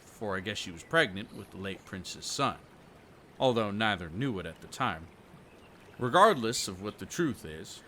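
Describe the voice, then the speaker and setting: A man's voice: fairly low pitch, bright, forceful tone, a little hiss or hum. A man playing a kindly, folksy country fisherman in an audio roleplay, with rustic phrasing and a hospitable manner. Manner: folksy rural phrasing, dropped g's.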